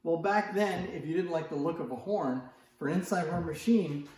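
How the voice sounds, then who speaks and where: A man's voice: fairly high pitch, moderate pace, darkish tone. A man giving a casual, unscripted show-and-tell in a conversational way, musing aloud as he presents antiques. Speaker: presenter of antique phonograph demonstrations. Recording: very echoey, reverberant room.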